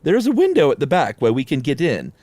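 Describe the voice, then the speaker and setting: Wary ghost-story fellow played by a man, raised pitch, animated, theatrical. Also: fast, dry recording. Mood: earnest. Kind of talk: comic dramatic reading of old ghost story.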